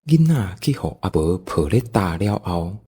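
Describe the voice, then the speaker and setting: Taiwanese guy narrating a radio play, deep raspy voice, really animated and folksy. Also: unhurried. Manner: folksy, dramatic. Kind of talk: expressive scripted storytelling for audio drama.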